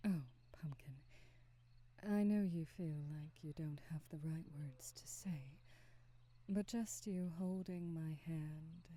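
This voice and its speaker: A woman playing a kindly, wistful, motherly witch in an ASMR roleplay, soft, breathy and often whispering, with tender, formal phrasing. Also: sits low. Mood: tender, bittersweet. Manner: slow, tender, old-fashioned formal phrasing.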